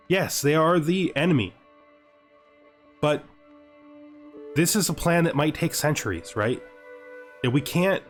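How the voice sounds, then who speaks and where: A man giving thinking-aloud gameplay commentary, deliberating, repeating himself and often checking with a questioning tag. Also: mid-range voice. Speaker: gaming host playing a strategy game. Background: music.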